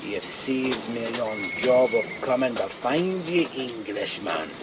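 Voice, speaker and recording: A man's voice: animated, takes it slowly. Menacing mad Scotsman, train noise. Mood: mocking menace. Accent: Scottish. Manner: menacing, blunt. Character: mad, threatening Scotsman with a gun. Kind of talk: audio comedy drama acting. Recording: very noisy, train rumble behind.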